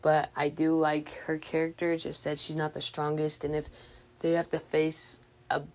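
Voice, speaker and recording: Low-voiced woman, casual podcast host. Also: monotone, moderate pace. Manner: hesitant, informal, thinking aloud. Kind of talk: casual movie news chat.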